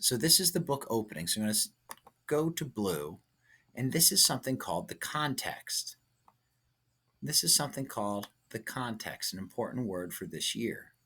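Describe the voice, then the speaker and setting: A man's voice: mid-range voice, pushed and bright, slightly rough. A man teaching a lesson, thinking aloud and coaching step by step with short check-in questions. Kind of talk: instructional lesson, thinking aloud through a writing example.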